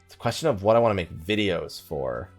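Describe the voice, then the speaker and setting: A man with a slightly low, very animated voice talks through a game in a casual, rambling way. He thinks aloud and drifts onto side topics, with music playing underneath. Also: quick pace. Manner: rambling, thinking aloud, often sidetracking himself. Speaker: gaming video creator and live streamer. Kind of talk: casual let's play commentary, rambling.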